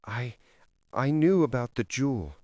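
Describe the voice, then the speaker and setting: A man's voice: medium pitch, expressive, a little mellow, no echo, studio quality, pitched above the performer's own voice, close to an everyday voice. A man voicing a nervous, guilt-ridden woman in her twenties in a mystery story, speaking hesitantly. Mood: nervous confession. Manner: hesitant, halting.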